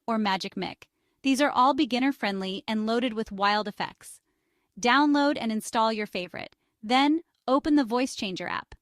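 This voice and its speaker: Female tutorial narrator, higher-pitched, upbeat scripted delivery, very dry close sound. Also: dry recording.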